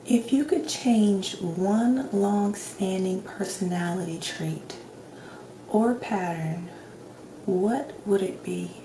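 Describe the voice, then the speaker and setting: A woman speaking softly, at times in a whisper, in a gentle, reassuring ASMR roleplay as a doctor questioning a patient. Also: medium pitch, slow. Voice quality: soft, partly whispered. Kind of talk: soft-spoken ASMR roleplay. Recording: roomy echo, clear background noise.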